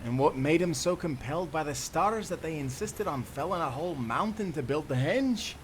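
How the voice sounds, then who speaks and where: A man's voice: fairly high pitch, animated, moderate pace, a little hiss or hum, lightly acted. A man playing a cheerful, dreamy young sailor with old-time nautical speech in an audio roleplay. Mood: cheerful, wondering. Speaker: sailor guide and companion.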